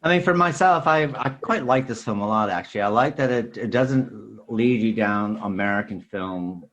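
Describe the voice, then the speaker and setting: Man, fairly low pitch, podcast host, casual film talk, frequent verbal tags. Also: moderate pace, fairly bright tone. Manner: repeats verbal tags like "sort of thing".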